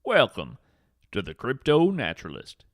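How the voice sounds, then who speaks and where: A man's voice: medium pitch, wildly swooping pitch, normal speed, loud music behind the voice. A man narrating a scripted story as a podcast host, with a theatrical, poetic and solemn delivery.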